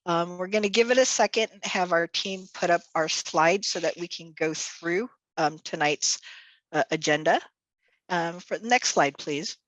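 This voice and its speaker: A woman's voice: lowish voice, moderate pace, a bit bright, dry recording, low-quality recording. A woman whose first language is Tagalog, hosting a virtual event as executive director, chatty and upbeat with many fillers, speaking over a video call. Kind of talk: virtual event welcome and announcements. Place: home or office on a video call.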